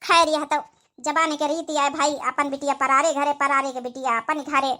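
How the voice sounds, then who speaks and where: A woman's voice: sits high, level tone, moderate pace, bright, forceful tone. A woman voicing a patient, doting old village mother-in-law in an Awadhi comedy cartoon, with homely, coaxing, plaintive speech and an Awadhi accent. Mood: wistful, homely.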